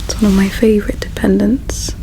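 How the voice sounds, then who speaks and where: A woman performing a whispered ASMR roleplay as a regretful, solemn alien princess, with a breathy, hushed, halting delivery. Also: mid-range voice, little pitch movement, rough recording. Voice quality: breathy whisper with soft creak. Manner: slow, halting, hushed storytelling.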